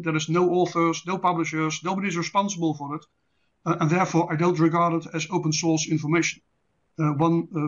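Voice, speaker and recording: A man with a Dutch accent, a native Dutch speaker, presenting an online lecture in a measured, deliberate, didactic way. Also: fairly high pitch. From Netherlands. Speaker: presenter, intelligence specialist. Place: online presentation with screen share. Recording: dull lo-fi online call audio.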